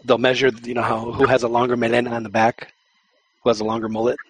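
A man's voice: medium pitch, flat delivery, quick pace, slightly rough, rough recording. A man co-hosting a football podcast, joking in casual chat and often repeating words as he talks. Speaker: podcast co-host.

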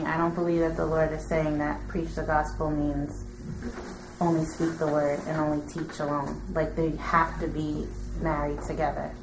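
A woman making her point from the audience in an informal religious discussion, insistent but halting. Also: sits low. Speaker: audience member commenting at a teaching session. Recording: echoey room with clear background noise.

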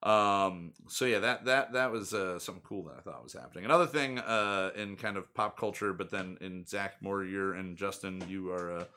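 A man's voice: deep voice, normal speed, hardly any pauses, sharp tone. A young man hosting a casual podcast, rambling and chatty, with lots of fillers. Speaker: podcast host. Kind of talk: casual chat.

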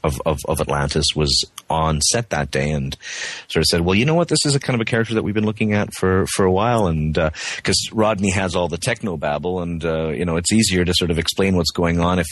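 Low-voiced man, halting and friendly. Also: no echo. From Canada, West Coast. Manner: halting, many restarts, friendly. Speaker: actor, interview guest. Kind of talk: casual interview over the phone. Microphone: remote call.

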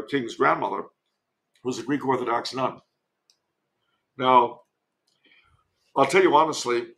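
Male podcast guest, American, gravelly creak, wry and emphatic opinionated talk over a remote call. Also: medium pitch, fairly bright tone. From United States. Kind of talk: conversational opinion and commentary. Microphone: remote internet call.

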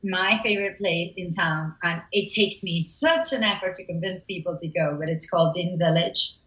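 A woman's voice: on the low side, fairly even intonation, moderate pace, a bit bright. A woman guest recommending places with enthusiasm, often saying "like" as she talks. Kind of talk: casual travel recommendations. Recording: roomy, dull lo-fi audio.

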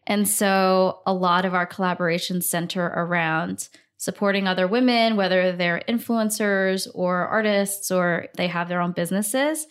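Woman, 30s, from Singapore, enthusiastic podcast guest, lowish voice, lots of fillers. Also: clean tone. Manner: enthusiastic, upbeat, frequent fillers. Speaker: brand founder. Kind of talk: podcast interview.

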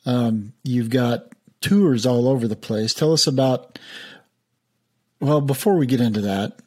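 Husky-voiced male interviewer. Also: mid-range voice, unhurried, a little mellow. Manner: conversational, restarts phrases.

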